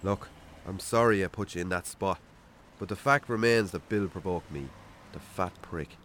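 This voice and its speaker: An actor with an Irish accent playing a troubled, defensive, hard-drinking farmer in a radio drama, gruff, curt and sweary. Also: male voice, low voice, normal speed, fairly bright tone, slight background noise. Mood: defensive, apologetic. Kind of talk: naturalistic radio drama acting.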